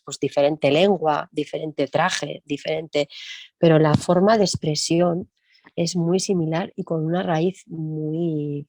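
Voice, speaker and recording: A woman music teacher talking in an interview, thinking aloud and often tagging her points with quick questions. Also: deep voice, dark tone, no room sound. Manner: reflective, thinks aloud with frequent tag questions. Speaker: music teacher and percussionist guest. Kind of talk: conversational interview.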